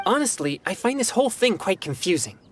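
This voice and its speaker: A man voicing an earnest, principled young exorcist in a video game, speaking politely in formal, measured wording. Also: high-pitched, sing-song, fairly bright tone, faint music behind. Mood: indignant.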